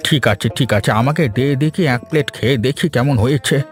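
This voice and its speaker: A man performs an old village elder in a cartoon moral tale, pitched well down. He is genial and familiar, fond of teasing younger folk. Faint music plays behind him. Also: fast talker, lightly acted. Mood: satisfied. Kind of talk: Bengali cartoon story voice acting.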